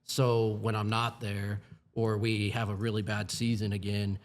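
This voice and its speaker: A man's voice: lowish voice, little pitch movement, unhurried. A man in his forties, a firefighting supervisor, talking in an earnest, plain-spoken way with many asides in a casual podcast chat. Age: 40s.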